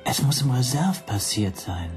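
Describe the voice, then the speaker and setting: A man's voice: medium pitch, sing-song, normal speed, darkish tone, soft background music, natural voice. An actor playing an anxious stateless man who narrates his own ordeal in a brooding, self-questioning way for a German radio drama. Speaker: first-person narrator and protagonist.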